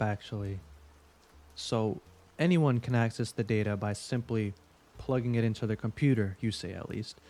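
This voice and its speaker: A man's voice: fairly low pitch, darkish tone, close to an everyday voice. A man playing a smug veteran investigator in an audio drama, wry and probing as he interrogates. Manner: wry, needling, deliberate.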